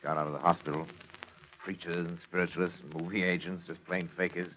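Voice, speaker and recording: An actor playing an earnest, controlling psychiatrist with formal, weighty phrasing, in the style of 1940s radio drama. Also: male voice, low voice, little pitch movement, quick pace, fairly bright tone, slight background noise. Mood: reflective, concerned. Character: earnest, controlling male doctor and psychiatrist, grave and anxious. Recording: dull, band-limited old radio recording.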